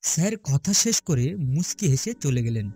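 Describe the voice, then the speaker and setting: A man narrating a horror story in the first person, expressive and theatrical in his delivery. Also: on the high side, measured pace, husky. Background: prominent music.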